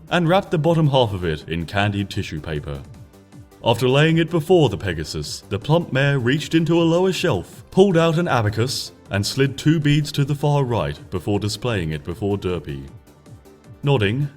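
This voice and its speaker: A man narrating a story from a script in an expressive, measured audiobook style, with music playing behind him. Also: mid-range voice. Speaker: fan fiction reader, narrator. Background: background music.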